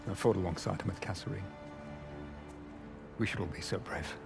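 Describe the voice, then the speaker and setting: A man playing a composed special operations agent, dry and measured. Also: low voice, steady tone, creaky, over music. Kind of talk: game cutscene acting.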